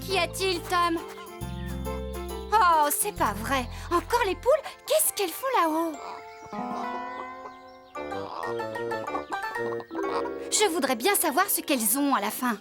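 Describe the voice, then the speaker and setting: A woman voicing a cheerful, curious young farmer, expressive and exclamatory in a children's cartoon. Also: extremely high pitch, normal speed. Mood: surprised, puzzled. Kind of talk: animated children's cartoon acting. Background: background music.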